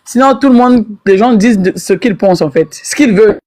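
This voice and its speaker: A woman from Brazzaville, speaking haltingly as a panelist in an online debate. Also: mid-range voice, a little mellow. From Brazzaville, Congo. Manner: hesitant, many fillers and restarts, defensive.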